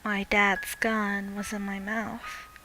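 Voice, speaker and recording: Casting: young female horror narrator, slightly low voice, dramatic first-person reading, clear music bed. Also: completely flat delivery, takes it slowly, bright, forceful tone.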